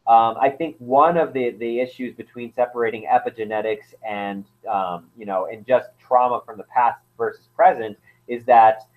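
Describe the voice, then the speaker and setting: A man's voice: mid-range voice, moderate pace, hardly any pauses. A man explaining as an anthropologist guest in a casual interview, hesitant, with many fillers and restarts. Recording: tinny phone-like line.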